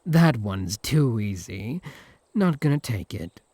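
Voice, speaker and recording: A man's voice: mid-range voice, big pitch swings, takes it slowly, darkish tone, husky, no room sound, full character voice. A man with a British accent playing a smug, teasing, possessive incubus in a comic audio roleplay. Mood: mocking, amused. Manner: mocking, flirtatious banter.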